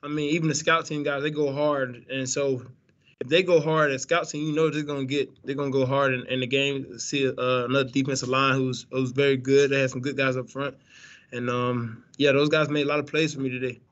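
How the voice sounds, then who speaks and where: A young man fields questions at a post-game press conference over a video call, relaxed and rambling, with plenty of fillers and restarts. His voice sits in an even, narrow pitch band. The audio is dull and lo-fi, like a muffled webcam. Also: a little fast. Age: young adult. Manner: laid-back, many fillers and restarts. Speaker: college quarterback. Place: indoor press room, branded backdrop.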